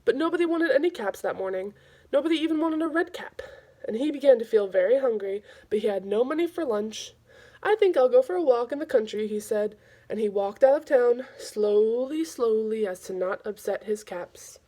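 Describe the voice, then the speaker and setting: Female storybook reader for kids, fairly high voice, informal and expressive delivery. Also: moderate pace. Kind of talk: children's read-aloud, informal and expressive.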